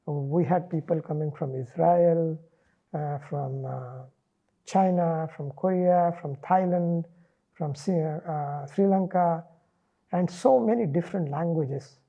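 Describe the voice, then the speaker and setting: Dark-voiced Indian man recalling his studies. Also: on the high side, highs cut off. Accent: Indian English. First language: Marathi. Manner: measured, thoughtful, frequent "uh" pauses. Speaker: interviewee, linguist. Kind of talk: relaxed reflective interview.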